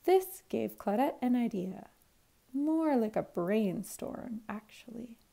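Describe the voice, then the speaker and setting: Higher-pitched woman reading a storybook. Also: animated, slow pace, vocal fry, mellow. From Canada. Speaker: primary school teacher reading aloud. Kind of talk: children's storybook read-aloud.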